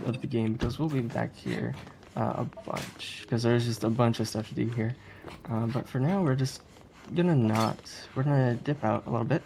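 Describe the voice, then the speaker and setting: Speedrunner commentating over clearly noisy audio. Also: medium pitch, warm tone. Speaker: speedrunner commentating on their own game run. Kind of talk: casual live speedrun commentary. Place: live streamed event, voice over gameplay audio.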